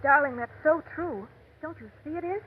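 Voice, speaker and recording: An actress playing an anxious, placating housewife in classic radio drama style, gracious and pleading. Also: female voice, high-pitched, normal speed, narrow, tinny audio, close to an everyday voice. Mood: gentle, agreeing. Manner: gracious, pleading, eager to smooth things over. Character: anxious, placating young-sounding housewife covering for danger. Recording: thin, lightly noisy vintage radio recording.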